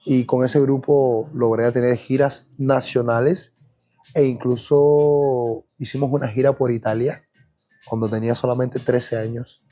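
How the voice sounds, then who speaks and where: A man from Cuba with a Cuban Spanish accent, a dancer recounting his childhood in a relaxed, reflective podcast interview, with frequent fillers and restarts. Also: mid-range voice, a little slow, faint music behind. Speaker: guest dancer. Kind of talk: podcast interview, personal storytelling.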